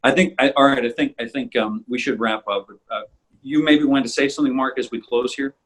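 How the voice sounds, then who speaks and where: A man with a slightly husky voice, chatting casually as a co-host of an audio drama show. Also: medium pitch, steady tone, a little fast. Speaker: voice actor and podcast co-host. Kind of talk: casual behind-the-scenes chat.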